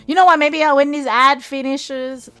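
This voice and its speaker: A woman's voice: sits high, steady tone, moderate pace, a bit bright, dry recording, faint music behind. A woman streaming a game live, rambling and giggling with her viewers while she tires and starts losing her voice. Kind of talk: casual live-stream chat while gaming.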